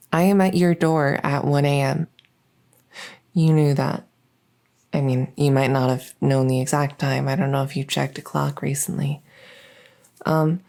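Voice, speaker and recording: A woman's voice: deep voice, mellow, exaggerated voice. A woman playing a shy, socially awkward vampire in an ASMR roleplay, speaking hesitantly with anxious stammers. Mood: awkward, tentative.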